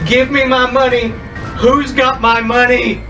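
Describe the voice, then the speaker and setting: A man puts on the blind ghost of a dead woman in a storybook tale, crying for her lost money in a slow, high, stretched-out wail that rises far above his own voice. The sound is a bit dull, with faint music underneath. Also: flat delivery, sharp tone, exaggerated voice.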